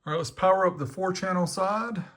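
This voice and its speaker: A man's voice: high-pitched, brisk, a bit bright, no echo. A man giving casual, upbeat product test commentary as a show host, chatty and informal. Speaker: host of a car audio testing show.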